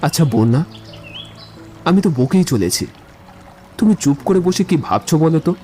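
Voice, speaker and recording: A man's voice: a little high, expressive, normal speed, warm tone, soft background music, natural voice. A man playing an eloquent young man in love in a literary audio drama, speaking in lyrical, wordy speeches. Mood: musing, ardent.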